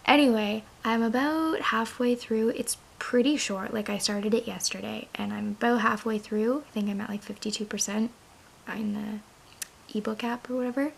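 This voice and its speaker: Hoarse young woman vlogging about books, scratchy gravelly voice, kinda high, rambles with lots of likes. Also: fairly even intonation, moderate pace. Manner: chatty, rambling, many "like" fillers. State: hoarse, raspy voice. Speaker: book vlogger. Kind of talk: casual chat to camera.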